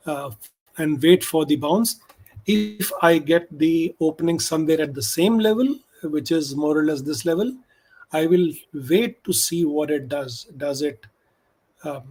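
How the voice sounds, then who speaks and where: A man's voice: a little high, darkish tone. A man with an Indian accent, whose first language is Hindi, giving emphatic stock market commentary and mixing in Hindi. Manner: emphatic, switches between English and Hindi. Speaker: stock options trader.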